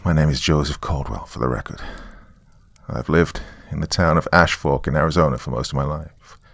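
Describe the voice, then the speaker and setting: A man's voice: very deep voice, fairly quick, gravelly, fairly bright tone, dry recording, studio quality, heavily acted. A man voicing a weary, sardonic small-town man, rambling wryly through a taped confession in a horror story. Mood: wry, flustered. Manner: rambling, wry, colloquial. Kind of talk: dramatic monologue as a taped confession.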